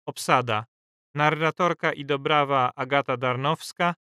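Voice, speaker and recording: A man's voice: mid-range voice, measured pace, bright, forceful tone, clear, resonant tone, dry recording, clean studio sound. A man reading scripted credits as an announcer.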